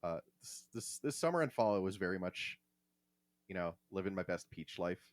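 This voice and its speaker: Low-voiced joking man in podcast chat. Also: animated, moderate pace, no room sound. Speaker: podcast co-host.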